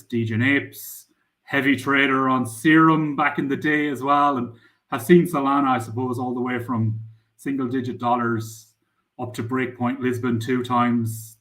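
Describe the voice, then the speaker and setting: Irish founder, middle-aged man, loose rambling talk, mid-pitched voice. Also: fairly bright tone. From Ireland. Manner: rambling, frequent "I suppose" fillers. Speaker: startup founder. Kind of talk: casual podcast interview.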